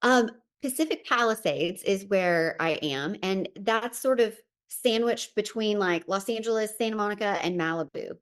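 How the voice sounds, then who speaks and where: A woman's voice: medium pitch, moderate pace, few pauses. A woman from Tennessee with a Southern accent, telling her story as a podcast guest in a chatty, friendly way. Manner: chatty, warm storytelling with fillers. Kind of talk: casual interview chat.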